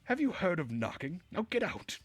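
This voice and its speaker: A man's voice: high-pitched, very animated, normal speed, breathy edge, no echo, pitched above the performer's own voice, heavily acted. A man voicing a bossy, earnest woman who leads a summer camp in a visual novel, in a lecturing manner. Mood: indignant. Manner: scolding, lecturing.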